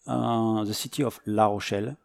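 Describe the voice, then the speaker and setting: A man in his forties from France with a French accent, a firefighter guest talking casually, halting and searching for words. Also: lowish voice, fairly even intonation, gravelly, no echo. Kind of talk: casual interview chat.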